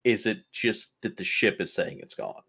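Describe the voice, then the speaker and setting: A man's voice: on the low side, expressive, normal speed, creaky, fairly bright tone, breathy edge. A man playing a tabletop roleplaying game, talking casually in character with blunt questions and remarks.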